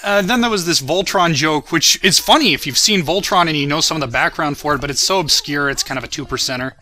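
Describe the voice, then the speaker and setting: A man's voice: a little high, fast, bright, forceful tone. A young man giving casual, self-deprecating, rambling commentary on his own video. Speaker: commentator, creator of an abridged anime series.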